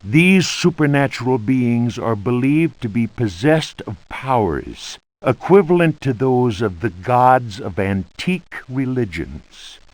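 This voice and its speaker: An actor voicing a stern churchman in a video game, reading an old book of warnings about evil in a solemn, ominous, deliberate manner. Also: medium pitch, lively intonation, no echo. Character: stern male churchman warning of devilish cults.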